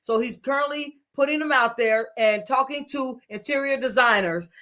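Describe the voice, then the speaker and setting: A woman hosting a casual panel talk show as a business mentor, animated and quick to laugh, often repeating words. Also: high voice.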